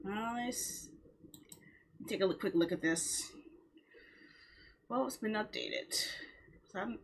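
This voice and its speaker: A woman chatting casually on a livestream in a rambling way, with a husky voice. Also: mid-range voice, slow, slight background noise. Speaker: live streamer.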